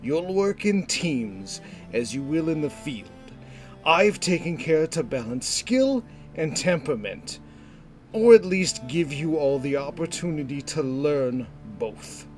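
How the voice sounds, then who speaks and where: A man voicing a calm, authoritative guildmaster with a measured, commanding delivery, in a fantasy audio story. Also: a little high, soft background music. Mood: calm authority. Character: calm, authoritative scarred male guildmaster addressing recruits.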